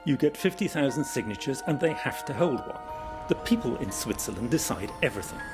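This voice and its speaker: A man's voice: medium pitch, animated, brisk. A man making emphatic, declarative points in a documentary interview. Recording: documentary clip with loud music behind.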